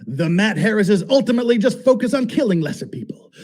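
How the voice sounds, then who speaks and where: Male reader, high and rough-edged voice, theatrical read-aloud with an amused commentator's touch. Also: lively intonation, fast, darkish tone. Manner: amused, often breaking into laughter as he reads. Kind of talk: dramatic reading of a written text with amused asides.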